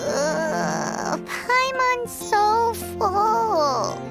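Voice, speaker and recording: Casting: blunt little floating sidekick for a game, squeaky, hugely high and swooping. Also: female voice, very animated, drawn-out words, exaggerated voice. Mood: stuffed, groaning. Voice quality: squeaky, childlike. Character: tiny childlike floating fairy companion, cheeky and food-loving. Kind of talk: video game character acting. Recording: loud children's game music behind.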